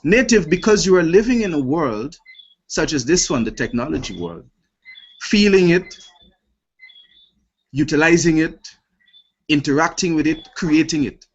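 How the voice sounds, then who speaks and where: A man in his late twenties with a Guyanese accent speaks formally and deliberately as a panelist in an online discussion, stressing points rhetorically. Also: on the high side, very animated. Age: late 20s. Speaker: youth organisation panelist. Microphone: online video call. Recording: dull lo-fi web call, clear noise.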